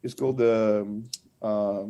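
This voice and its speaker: A man's voice: lowish voice, steady tone, unhurried, dark tone, lo-fi sound. A man with a French accent, talking casually as an interview guest and telling stories in a conversational way. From France and French-speaking Switzerland. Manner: conversational storytelling with frequent "like".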